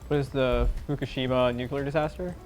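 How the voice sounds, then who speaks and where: A man's voice: medium pitch, steady tone, fairly quick, darkish tone, soft background music. A college senior in his twenties from Pennsylvania, giving brief, matter-of-fact answers on a quiz show. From Pennsylvania, USA. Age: 20s. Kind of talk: casual game show answers. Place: college TV studio.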